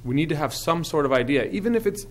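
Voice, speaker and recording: Measured councilman weighing a budget. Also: a little high, animated, slight background noise. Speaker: city councilmember. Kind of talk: council meeting discussion.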